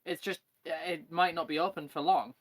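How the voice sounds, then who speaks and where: A man's voice: on the high side, steady tone, a little fast, dry recording. A man of nineteen, chatting plainly and calling his friends mate. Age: teens. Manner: plain-spoken, calls people mate. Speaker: stream guest. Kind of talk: casual gaming chat.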